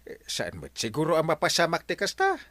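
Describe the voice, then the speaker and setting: A man's voice: a little high, wildly swooping pitch, brisk, fairly bright tone, no echo. A man playing a bumbling middle-aged village captain in a comedy radio drama, exclaiming and easily flustered. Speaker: barangay captain.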